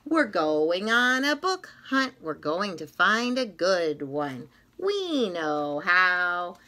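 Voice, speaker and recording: A middle-aged woman reading a children's picture book aloud like a teacher, in an expressive, rhythmic sing-song manner with drawn-out phrases. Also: on the low side. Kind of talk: read-aloud of a children's story. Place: small indoor classroom-style room.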